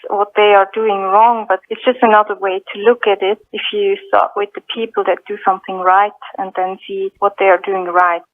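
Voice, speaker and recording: A woman's voice: medium pitch, level tone, normal speed, few pauses. A woman researcher explaining her work in an interview over a narrow phone line. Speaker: university researcher.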